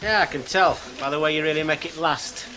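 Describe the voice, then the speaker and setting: A man's voice: on the high side, brisk, background noise, natural voice. An actor playing a sarcastic man needling his mates.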